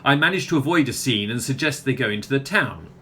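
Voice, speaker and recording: A man's voice: mid-range voice, expressive, fast, pushed and bright, slight background noise. Man playing a pompous, grandiose author. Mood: smug, anecdotal. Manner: grandiose, wordy, theatrical. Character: pompous, self-important touring book author. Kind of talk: comic radio play acting.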